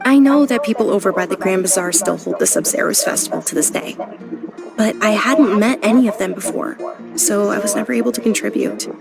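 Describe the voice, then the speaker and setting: A woman's voice: medium pitch, fairly quick, vocal fry, close to an everyday voice. A woman voicing an earnest, bookish young noblewoman. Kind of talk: video game voice acting. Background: game music.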